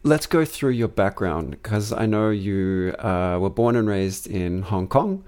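Male interviewer, slightly low pitch, hesitant and conversational. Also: big pitch swings, a little mellow. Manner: hesitant, halting questions with frequent "um". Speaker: podcast host. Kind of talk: podcast interview.

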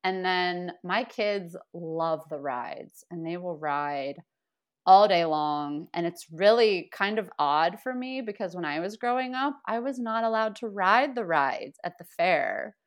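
A woman's voice: fairly low pitch, lively intonation, unhurried. A woman in her thirties chatting casually on a local podcast, talking freely and laughing easily. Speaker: podcast guest. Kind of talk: casual chat.

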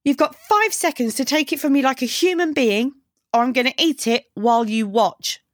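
A woman voicing a brash, sarcastic young punk woman in audiobook dialogue, deadpan and taunting. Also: high voice, normal speed, no room sound, studio quality, pitched above the performer's own voice, natural voice.

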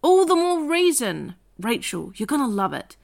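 A woman reading the lines of a charming, eager young man in an audiobook-style reading, with a lively, coaxing delivery. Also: mid-range voice, fairly quick, creaky, lightly acted. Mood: enthusiastic.

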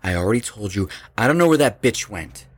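An actor playing a hostile, dismissive rock band member in an audio drama, crude and evasive, with a sneering, slangy delivery. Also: male voice, lowish voice, animated, fairly quick, slightly rough, no room sound. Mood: defensive, irritated.

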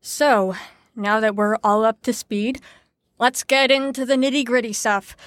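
Wry, higher-voiced female lecturer. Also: steady tone, measured pace, fairly bright tone, no echo, studio quality. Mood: didactic, patient. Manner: didactic, wry, articulate. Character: brisk, sarcastic female teacher explaining psychology theories. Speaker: lecturer leading a group lesson. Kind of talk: audio drama acting, lecturing.

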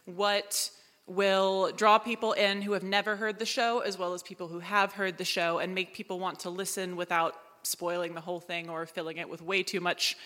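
Bright-voiced woman in an echoey hall. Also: fairly low pitch, little pitch movement, normal speed. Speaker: audio drama writer and creator on a panel. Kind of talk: live panel question-and-answer talk. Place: live event room at a festival. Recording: echoey hall, long reverb.

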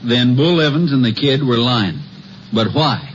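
An actor playing a plain-spoken, agreeable small-town sheriff in a 1950s western radio drama, with a folksy, easygoing manner. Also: male voice, mid-range voice, animated, unhurried, lightly acted. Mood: puzzled. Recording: dull old radio recording, faint noise.

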